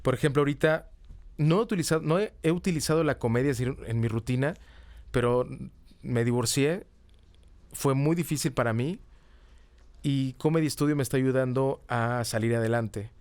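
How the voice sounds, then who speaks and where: A man's voice: medium pitch, moderate pace, darkish tone, no room sound. A middle-aged man with a Mexican accent, explaining things casually in an interview and often ending phrases with a checking tag. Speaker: podcast guest, comedy teacher and writer. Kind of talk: casual conversational interview answers.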